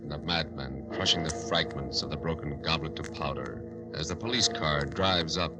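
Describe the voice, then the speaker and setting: A man's voice: a bass voice, moderate pace. A man playing an ominous, dramatic announcer of a 1940s-style radio murder mystery. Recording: old radio broadcast with loud music. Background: loud dramatic music.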